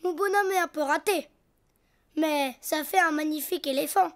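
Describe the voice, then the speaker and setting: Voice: sits high, moderate pace, fairly bright tone. A performer voicing a cheerful, imaginative little boy donkey in a children's cartoon, with playful exclamations and simple singsong phrases. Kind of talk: children's cartoon dialogue, talking to himself and viewers. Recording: music behind the voice.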